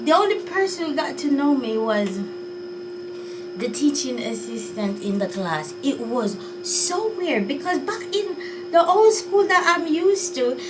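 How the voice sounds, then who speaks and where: A woman's voice: high-pitched, big pitch swings, measured pace, distant mic, noisy background. A Jamaican woman vlogging in a chatty, unsure way, sighing as she thinks things over. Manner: chatty, musing and unsure, with sighs. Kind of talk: casual talk to camera.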